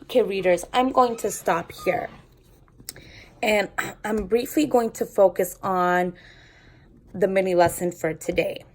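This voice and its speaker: A woman's voice: mid-range voice, a little slow, pauses often, low-quality recording. A woman teacher reading a story aloud to students and explaining it in an instructive way. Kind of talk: read-aloud storytelling with lesson commentary.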